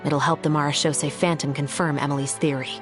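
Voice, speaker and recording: A woman playing a resolute, composed musketeer captain in a video game, speaking in a measured, authoritative manner. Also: sits low, little pitch movement, music in the background, natural voice. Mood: calm, businesslike.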